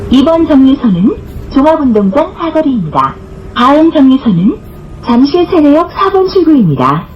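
Lady doing bus stop announcements, really high lively pitch, formal and careful, muffled recording w some bus noise. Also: moderate pace. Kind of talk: scripted transit announcement. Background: bus interior ambience.

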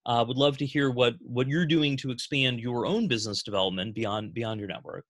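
A man hosting a business Q&A on a video call, speaking in a measured way with frequent fillers. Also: medium pitch, steady tone, no room sound, studio quality. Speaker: host of agency Q&A.

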